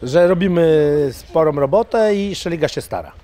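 40s guy, mma coach, casual chat into a handheld mic, gets loud and hyped on the plugs. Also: moderate pace. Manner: excitable, bursts into loud high sponsor shout-outs. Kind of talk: casual interview with sponsor plugs. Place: indoor press area in front of sponsor banner wall. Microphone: handheld mic held by interviewer.

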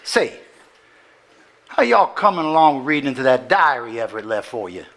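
A man's voice: mid-range voice, very animated, normal speed, fairly bright tone. A man playing a folksy, businesslike small-town lawyer with a Southern US accent on a theater stage. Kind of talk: live stage acting. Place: theater stage before audience.

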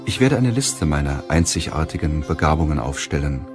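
A man narrating an audiobook in a measured, solemn way, reading from a script. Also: very deep voice, expressive, music in the background.

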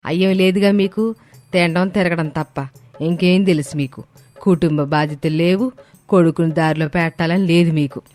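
A woman voicing a scolding, possessive middle-aged Telugu mother-in-law in an animated moral story. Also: on the low side, normal speed, soft background music, close to an everyday voice. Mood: bitter complaining. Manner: scolding, complaining, sarcastic.

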